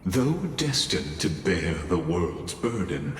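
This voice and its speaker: A man playing a solemn, ominous narrator of doom in a video game, speaking in a grave, theatrical and deliberate way. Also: sits low, expressive, a little hiss or hum. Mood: grave, bitter. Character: solemn, ominous male narrator foretelling a doomed hero. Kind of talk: dramatic scripted game narration. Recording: echoey long reverb under loud music.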